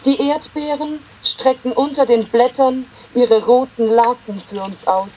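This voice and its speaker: Woman, high-pitched voice, slow delivery, literary poetry reading, deliberate phrasing, pauses between lines. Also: steady tone, breathy edge, lo-fi sound. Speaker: poetry reader.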